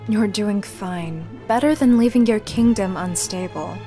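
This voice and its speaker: A woman's voice: mid-range voice, a little slow, mellow, faint music behind, close to an everyday voice. A woman voicing a young female necromancer from a visual novel, composed, formal and reassuring. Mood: gently reassuring. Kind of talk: acted visual novel dialogue.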